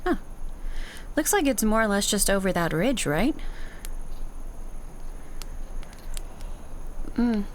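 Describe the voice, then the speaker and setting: A wry, teasing cyberpunk netrunner, acted by a woman for an ASMR roleplay. Her voice sits at a medium pitch and she talks casually, like a loyal partner on a job, pausing as she thinks. Faint ambient noise runs behind her. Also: very animated, close to an everyday voice. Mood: casual, pondering. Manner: casual, wry, hesitant pauses. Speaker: roleplay voice actor. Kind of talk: ASMR audio roleplay, conversational acting to the listener.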